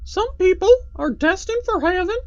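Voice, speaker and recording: A creepy puppet from a children's show in a very high voice. It preaches with smug, menacing glee and stretches out its words. The recording is somewhat muffled. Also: male voice, lively intonation, normal speed. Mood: smug menace.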